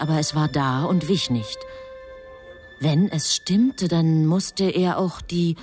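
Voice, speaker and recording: A woman's voice: lowish voice, a little mellow, breathy edge. A woman performing as the grave, composed narrator of a literary radio drama. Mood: grave, tense. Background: music.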